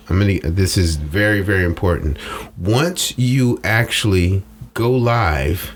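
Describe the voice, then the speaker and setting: A man talking casually as a strategist guest in an interview, explaining in colloquial phrases and coaching with rhetorical questions. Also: fairly low pitch. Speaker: social media strategist guest. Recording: noticeable background noise.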